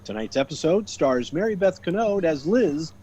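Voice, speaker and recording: A man's voice: on the high side, expressive, moderate pace, darkish tone, husky. A man narrating a scripted radio comedy as its theatrical announcer.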